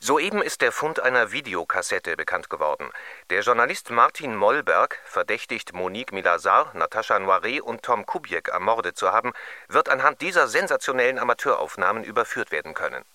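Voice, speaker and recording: A television newsreader in a radio drama, reading a bulletin with crisp, formal delivery. His voice is very bright and tense, with a lively lilt. The sound is dry and close. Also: fairly low pitch, fairly quick, no echo, heavily acted.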